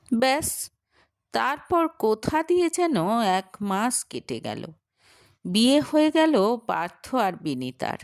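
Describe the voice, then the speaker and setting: A woman narrates a romantic short story from a script in a fairly high voice. Her pitch moves in lively, wide swings while the pace stays somewhat unhurried. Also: no echo, studio quality.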